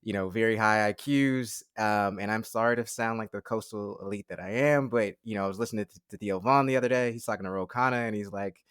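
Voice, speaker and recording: A man's voice: lowish voice, quick pace, hardly any pauses, fairly bright tone, smooth, no room sound, clean studio sound. A man in his forties co-hosting a podcast, asking long, rambling questions with fillers and easy laughs. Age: 40s. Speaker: podcast co-host. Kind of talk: casual podcast interview.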